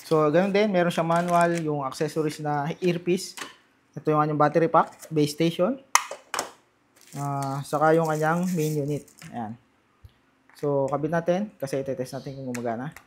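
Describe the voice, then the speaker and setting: A man's voice: a little high, steady tone, normal speed, background noise. A Filipino man doing casual unboxing and testing commentary as a vlogger, talking informally straight to his viewers and mixing in English. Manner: informal, addresses viewers directly, mixes Tagalog and English.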